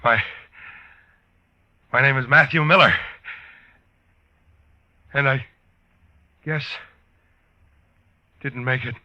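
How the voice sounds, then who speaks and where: An actor playing a halting, resigned dying man in a 1950s radio drama. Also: medium pitch, fairly even intonation, pushed and bright, no echo, heavily acted. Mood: weary, resigned. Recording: dull old radio recording.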